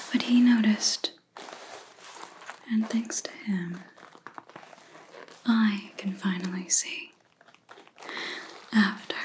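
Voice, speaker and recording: A woman playing a stern, vengeful vampire hunter in a whispered ASMR roleplay, speaking in a breathy whisper with deliberate, accusing pauses. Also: a little high, rough recording.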